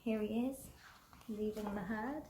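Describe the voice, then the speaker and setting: A woman reading a children's picture book aloud expressively and deliberately, pausing to put questions to young listeners. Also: mid-range voice, fairly even intonation, warm tone, roomy sound, a little hiss or hum. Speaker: children's storybook reader.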